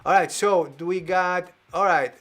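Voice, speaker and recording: A man hosting a live variety show over a video call, playful and animated, laughing as he talks. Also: sits high, normal speed. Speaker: host.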